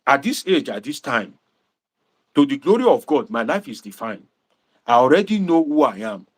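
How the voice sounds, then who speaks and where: A Nigerian man hosting a livestream, talking to viewers in a preachy, animated way and dropping Pidgin asides. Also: mid-range voice, normal speed. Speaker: livestream host and political commentator.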